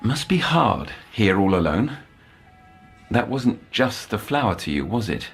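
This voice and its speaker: A formal, coaxing counsellor for troubled children in an audio drama. His low voice rises and dips widely as he probes politely and precisely. Soft music sits behind him. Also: big pitch swings, measured pace, creaky, exaggerated voice. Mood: probing, solicitous. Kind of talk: scripted audio drama acting.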